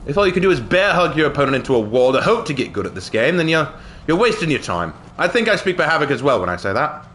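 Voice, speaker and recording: A man's voice: fairly high pitch, big pitch swings, quick pace, a bit bright, a little hiss or hum. A man putting on a silly, swooping British lad. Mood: scolding. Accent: put-on British. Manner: chummy, cheeky, theatrical. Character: silly cheeky British lad gamer, male, mock-posh chummy. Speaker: game live streamer. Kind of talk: casual live game commentary in character.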